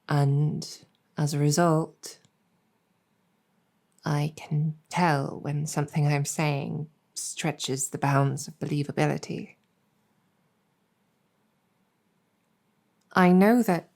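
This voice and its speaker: A woman voicing an earnest, protective succubus demon general in an intimate audio roleplay, speaking deliberately with halting pauses. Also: deep voice, warm tone. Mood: earnest, confiding. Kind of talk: intimate improvised roleplay storytelling, ASMR-adjacent.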